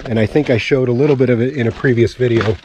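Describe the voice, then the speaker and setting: A man's voice: mid-range voice, fast, darkish tone, a little hiss or hum. A man in his forties giving a casual walk-around vlog outdoors on open dry land, explaining his plans as he points things out. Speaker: homesteading vlogger.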